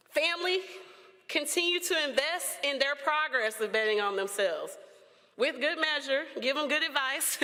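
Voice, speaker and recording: A woman's voice: high voice, pushed and bright. A woman giving an encouraging, rousing commencement address with playful asides. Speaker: keynote speaker. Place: large auditorium. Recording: long hall reverb.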